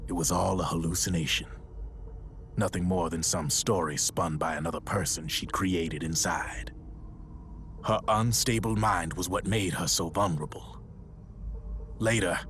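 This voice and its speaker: An actor playing a gruff arms dealer in a video game, narrating a dark war story in a grim, measured way. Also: male voice, low voice, slight background noise. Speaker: storyteller character. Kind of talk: dramatic scripted narration.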